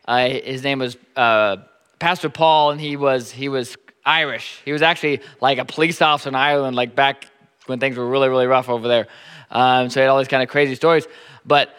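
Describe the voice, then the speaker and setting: A man in his thirties with a Nebraskan accent, preaching a sermon in a halting, informal way that repeats words often. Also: mid-range voice, steady tone, a bit bright, smooth, big-room sound. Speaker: pastor.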